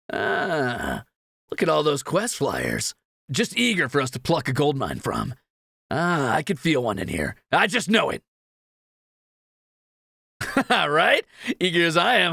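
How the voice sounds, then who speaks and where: A man's voice: on the high side, creaky, sharp tone, husky, no echo, clean studio sound, exaggerated voice. A man playing a cocky, greedy thief in a fantasy audio roleplay, teasing and sarcastic with swaggering banter. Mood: eager.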